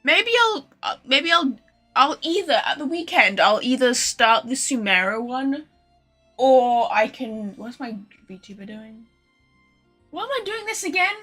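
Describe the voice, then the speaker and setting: A woman's voice: high-pitched, very animated, measured pace, pushed and bright, soft background music. A woman livestreaming a video game, chatting casually to viewers in a rambling, informal, sweary way.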